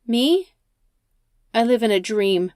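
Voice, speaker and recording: A woman narrator as distraught woman hitchhiker, accusing, high-pitched, emotional, horror audiobook, dry close sound. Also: lively intonation, slow pace, no room sound. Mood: probing. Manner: shouting, tearful, accusing.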